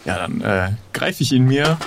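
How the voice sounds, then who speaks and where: Slightly creaky-voiced man playing an adventure game. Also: medium pitch, expressive, moderate pace, slight background noise. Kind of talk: casual improvised role-play.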